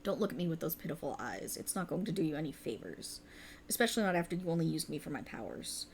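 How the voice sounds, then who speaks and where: A woman's voice: low voice, very animated, fast talker, a little hiss or hum, voice pushed down. A woman voicing a sultry, cruel and mocking otherworldly warlock patron in a theatrical ASMR roleplay, teasing and condescending. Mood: scornful, condescending.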